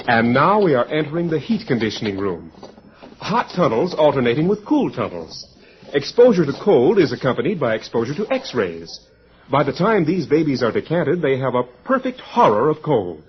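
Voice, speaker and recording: Man acting as animated, declamatory scientific guide, dull recording. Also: mid-range voice, moderate pace, lightly acted. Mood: proud, lecturing. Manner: declamatory lecturing to students. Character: brisk, authoritative male hatchery guide, proud and clinical. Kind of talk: mid-century radio drama acting. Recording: dull, lo-fi old radio recording.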